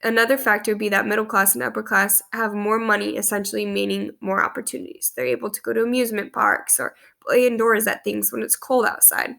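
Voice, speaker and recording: A woman's voice: medium pitch, little pitch movement, fast, creaky, few pauses, sharp tone. A young woman in her twenties with a stuffy cold, earnestly reading a class presentation to a webcam in a small room. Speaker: student presenting a class post. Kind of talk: read-aloud presentation. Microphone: webcam at close range.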